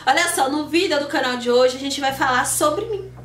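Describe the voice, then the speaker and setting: A woman's voice: sits high, moderate pace, reverberant. A woman, chatting to viewers about her life as a channel host. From Salvador, Bahia, Brazil. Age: 20s. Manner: chatty, animated, addresses viewers directly. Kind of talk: casual personal storytelling to camera.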